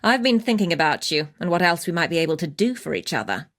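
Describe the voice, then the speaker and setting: Casting: a game's wilderness huntress, slightly low register, purposeful, wry and matter-of-fact. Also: female voice, animated, quick pace, fairly bright tone. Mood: wry, encouraging. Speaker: game character voice actor. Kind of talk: video game quest-giver dialogue.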